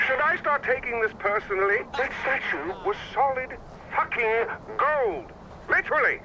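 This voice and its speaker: An actor playing a flamboyant, petulant, mocking dictator in a video game, theatrical and arch with emphatic stresses. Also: male voice, very high voice, animated, normal speed, muffled recording, noisy background. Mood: mock offence. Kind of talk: video game cutscene acting.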